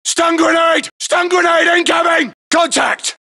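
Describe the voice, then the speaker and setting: An actor playing a tough British SAS soldier in a military shooter game, yelling urgent, clipped battle callouts. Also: male voice, extremely high pitch, steady tone, measured pace, vocal fry, heavy background noise, heavily acted. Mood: urgent, shouting.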